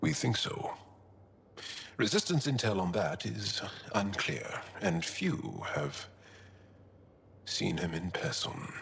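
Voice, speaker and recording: An actor playing a gentle, earnest male alien resistance fighter in a video game, speaking in a measured, solemn, heartfelt way with a deep, gravelly voice. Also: big pitch swings, big-room sound, slight background noise, full character voice. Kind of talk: video game cutscene acting.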